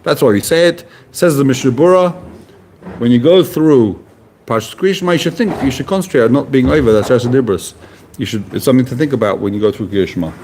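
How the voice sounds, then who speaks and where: A man's voice: medium pitch, fast talker, a little mellow, a little hiss or hum. A man giving a casual Talmud class, explaining Hebrew and Aramaic terms in plain conversational English. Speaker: Talmud teacher.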